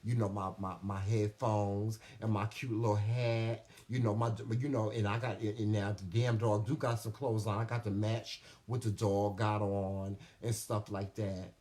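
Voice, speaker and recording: A man's voice: lowish voice, little pitch movement, measured pace, few pauses, darkish tone. A man in his fifties co-hosting a casual talk show, bantering bluntly and sassily and swearing for emphasis. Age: 50s. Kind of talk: casual banter, reading live comments.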